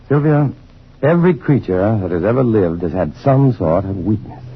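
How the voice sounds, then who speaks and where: An American actor playing a calm, reassuring, educated scientist hero in an old-time radio drama. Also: male voice, lowish voice, normal speed, slightly rough. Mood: gentle, reassuring. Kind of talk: 1950s radio drama acting. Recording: dull, lo-fi old radio, faint noise.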